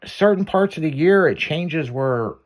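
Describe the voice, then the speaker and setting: A man's voice: on the high side, lively intonation, a little slow. A man hosting a radio show, chatting casually, rambling and swearing, trailing off with fillers. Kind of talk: casual on-air chat.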